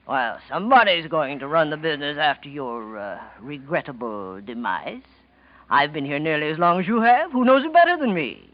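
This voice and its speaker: A man's voice: on the high side, expressive, moderate pace, natural voice. A man acting a scheming, gloating killer in a 1940s radio mystery, jittery and resentful, with a taunting, theatrical delivery on a muffled vintage recording.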